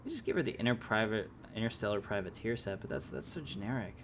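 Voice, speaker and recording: A man's voice: on the low side, big pitch swings, fast, a bit bright, slight background noise. A man giving casual live gameplay commentary, musing aloud and hesitating as he thinks through choices. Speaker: game livestreamer, host.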